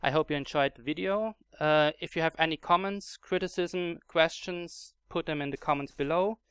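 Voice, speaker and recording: A man's voice: on the high side, moderate pace, fairly bright tone, clear, resonant tone, no room sound, clean studio sound. A man with a German accent narrating a casual game tutorial, hesitant with many fillers and restarts. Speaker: Minecraft mod video creator.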